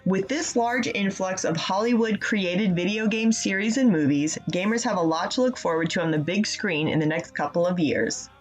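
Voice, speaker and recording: A woman's voice: mid-range voice, moderate pace, hardly any pauses, muffled recording, soft background music. A woman presenting scripted video game news as a regular segment contributor on a casual show.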